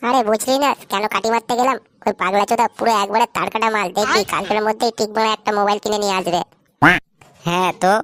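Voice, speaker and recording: A woman's voice: medium pitch, fairly even intonation, fast talker, fairly bright tone, lightly acted. A woman voicing foul-mouthed, cocky young village men who taunt and swear in a comedy cartoon. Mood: angry taunting.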